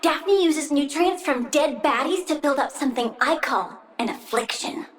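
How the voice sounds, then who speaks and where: A woman's voice: high voice, moderate pace, distant mic, natural voice. An actress voicing a young girl plant villain in a video game, bossy, doting and vengeful, with a childish, petulant, cutesy menace. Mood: gleeful menace.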